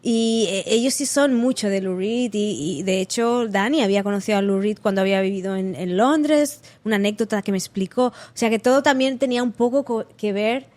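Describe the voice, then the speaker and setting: A woman's voice: medium pitch, fairly quick, few pauses. A woman from Catalonia with a Spanish accent, a singer chatting as a podcast guest, telling stories animatedly and acting out what people said, with frequent restarts. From Catalonia, Spain. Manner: lively storyteller, acts out quotes, frequent restarts and laughter. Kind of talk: casual interview chat.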